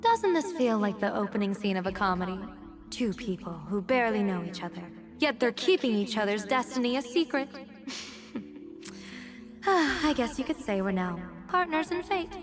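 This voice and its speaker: A woman's voice: fairly high pitch, animated, moderate pace, natural voice. A woman acting a poised, playful young general and fortune-teller in a video game, with a teasing, theatrical delivery. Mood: playfully threatening. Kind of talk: acted game dialogue. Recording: music behind the voice. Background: game music.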